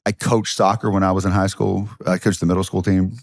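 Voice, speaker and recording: A man's voice: low-pitched, flat delivery, fairly quick, gravelly, no room sound, studio quality. A middle-aged man with a Southern US accent, chatting as a podcast guest, telling self-deprecating stories with lots of restarts. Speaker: guest, history professor and comedian. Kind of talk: casual podcast chat.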